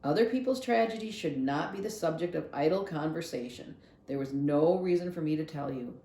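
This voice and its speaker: A woman voicing a reserved, melancholy preacher father in a children's novel, serious and measured, gentle but firm. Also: deep voice, roomy sound. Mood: grave. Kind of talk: acted children's novel read-aloud.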